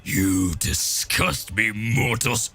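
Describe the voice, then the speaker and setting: A man's voice: fairly low pitch, extremely slow, gravelly, pushed and bright, soft background music, pitched below the performer's own voice, exaggerated voice. A man voicing a cruel dragon full of contempt for mortals in an anime-style story. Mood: scornful. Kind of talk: anime fan-fiction dramatic reading.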